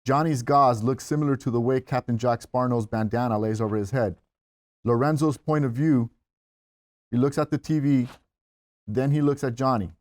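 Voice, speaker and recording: Male narrator, medium pitch, scripted stage-direction read for a screenplay. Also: moderate pace, a little mellow.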